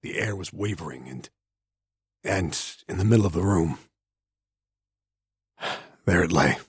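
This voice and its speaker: Low, haunted male narrator. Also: animated, measured pace, breathy edge, dry recording, full character voice. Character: haunted man painfully recounting a traumatic memory. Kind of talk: dramatic first-person game narration.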